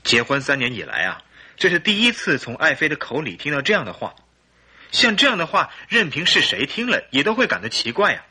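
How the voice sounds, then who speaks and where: An earnest husband of thirty-two in a radio drama, polite yet troubled. His voice has a sharp, forceful edge and a rough huskiness, and it moves in lively, expressive swings as he explains himself. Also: a little high, a little fast, full character voice. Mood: concerned, puzzled. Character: troubled 32-year-old English husband, a hop trader, polite and earnest. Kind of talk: Chinese radio drama acting.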